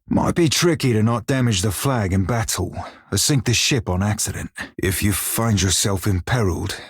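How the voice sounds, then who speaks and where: An actor playing a wry, crafty pirate sailor in a video game, speaking in salty, colloquial seafaring dialect with a husky, rough-edged voice. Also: male voice, fairly low pitch, dry recording, studio quality, lightly acted. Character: roguish male pirate sailor, wry, crafty, loyal crewmate. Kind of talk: scripted video game dialogue.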